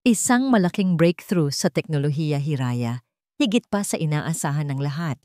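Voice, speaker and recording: Woman voicing a measured, reassuring doctor. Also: deep voice, lively intonation, dark tone, dry recording, clean studio sound. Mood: calm explaining. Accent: Filipino. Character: calm, reassuring doctor explaining to a patient.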